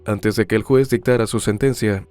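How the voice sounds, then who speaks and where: A man narrating a scripted true-crime documentary in a formal, measured storytelling manner. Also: on the low side, fairly even intonation, a little mellow, clean tone, faint music behind.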